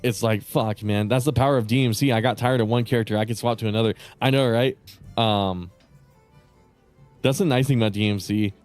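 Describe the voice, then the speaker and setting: A man chatting casually with viewers on a live stream, rambling and swearing with lots of fillers, his voice starting to go raspy. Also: fairly low pitch, steady tone, brisk, darkish tone, faint music behind. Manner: rambling, profane, many fillers and restarts. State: voice getting raspy.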